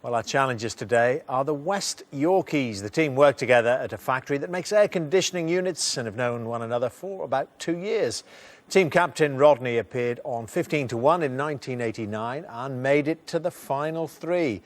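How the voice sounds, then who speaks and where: A man's voice: mid-range voice, lively intonation, moderate pace. A man hosting a television quiz show, presenting and putting questions to contestants. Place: TV studio. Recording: faint music bed behind. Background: music.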